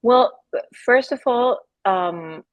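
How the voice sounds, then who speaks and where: A woman from Argentina, with an Argentinian accent and Spanish as her first language, talking in a casual interview, halting often with fillers and restarts. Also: a little high, lively intonation, no echo, lo-fi sound. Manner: halting, with many fillers and restarts, laughs between phrases. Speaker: guest, company founder.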